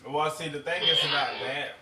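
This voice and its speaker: A man's voice: fairly high pitch, steady tone, moderate pace. A man with a Florida accent chatting casually in a group, often mimicking other people's voices when he tells a story. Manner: chatty, imitates voices when telling stories. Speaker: reality show contestant. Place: shared house indoors. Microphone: ceiling surveillance mic. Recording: echoey room, distant mic, background noise.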